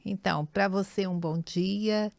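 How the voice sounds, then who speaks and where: A Brazilian woman in her fifties speaks as an interview guest, telling personal stories conversationally. Her voice is smooth and clean at a medium pitch, recorded dry. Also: fairly even intonation, unhurried, darkish tone, dry recording. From São Paulo and Goiânia, Brazil. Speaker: podcast guest, nurse and acupuncturist.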